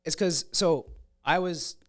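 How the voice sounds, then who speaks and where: A man's voice: fairly high pitch, slow, fairly bright tone. A man in his twenties, a comedian talking in a casual podcast interview, rambling and blunt with frequent restarts. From Canada. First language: Russian. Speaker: comedian guest.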